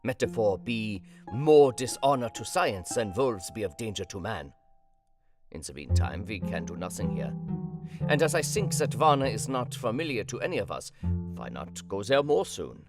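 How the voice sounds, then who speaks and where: Man playing commanding old professor, swooping pitch. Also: very animated, moderate pace, over music. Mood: resolute, wry. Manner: formal, quaint inverted syntax. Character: commanding older male foreign professor, eager vampire hunter. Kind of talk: radio play acting.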